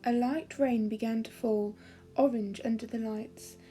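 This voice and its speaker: A woman storyteller giving a calming, expressive, measured reading of a children's fairy story. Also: a little high, music in the background.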